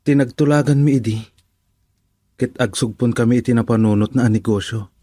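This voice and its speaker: A man's voice: medium pitch, moderate pace, warm tone, dry recording, lightly acted. An actor playing a humble, hesitant farmer and father in an Ilocano radio drama. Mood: thoughtful.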